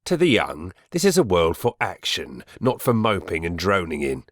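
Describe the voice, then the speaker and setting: A male actor plays a cold, severe stepfather in an audiobook drama. His voice is low and very dry, and he speaks with grave, pompous deliberation, as one used to being obeyed. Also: huge pitch swings, normal speed, no room sound, full character voice. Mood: stern lecturing. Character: stern, cold middle-aged stepfather, severe and domineering.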